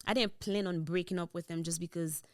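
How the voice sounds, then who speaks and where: A young woman from Haiti with a Haitian Creole accent, a podcast guest telling her story in relaxed chat and slipping into Creole, on a studio boom mic. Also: fairly quick, crowd noise. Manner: switches into Creole mid-sentence, relaxed storytelling. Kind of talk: casual interview chat. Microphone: boom-mounted studio mic.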